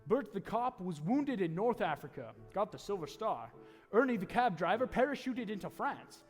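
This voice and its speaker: An actor playing a heavenly narrator in a 1940s-style radio play, telling the story in a theatrical, folksy way. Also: male voice, high-pitched, very animated, normal speed. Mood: dramatic. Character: wise senior heavenly narrator recounting a life to Clarence. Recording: live stage taping in echoey hall, music.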